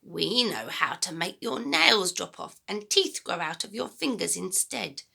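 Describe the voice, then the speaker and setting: Woman performing sinister old grandmother, storybook villain, heavy creak, wildly swooping pitch, gleeful menace. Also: lively intonation, sharp tone, exaggerated voice. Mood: sinister, menacing. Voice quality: throaty, whispery. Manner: drawn-out, relishing, menacing delivery. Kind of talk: dramatic children's storybook reading.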